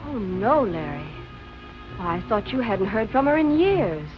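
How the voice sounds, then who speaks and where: An actress playing a brisk, loyal nightclub woman in 1940s film noir style. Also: medium pitch, big pitch swings, over music, low-quality recording. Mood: concerned.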